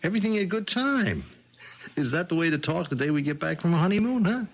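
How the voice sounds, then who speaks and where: An actor playing a henpecked ex-convict husband in a 1970s radio mystery, outwardly easygoing and placating, with a sly, scheming undertone. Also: male voice, on the high side, big pitch swings, fast. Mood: taken aback. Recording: noisy, muffled 1970s radio broadcast.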